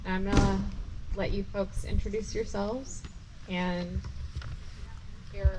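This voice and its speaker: A woman facilitating a meeting, briskly announcing the next panel and handing over the microphone. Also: mid-range voice, little pitch movement, background noise.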